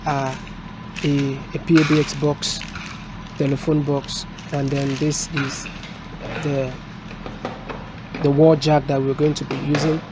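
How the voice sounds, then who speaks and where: A man giving an unscripted hands-on installation tutorial as a technician, explaining step by step in a halting way. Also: a little high, level tone. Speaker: technician presenting a product installation. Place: indoors at a desk, filmed from above. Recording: noisy room with street and vehicle sounds.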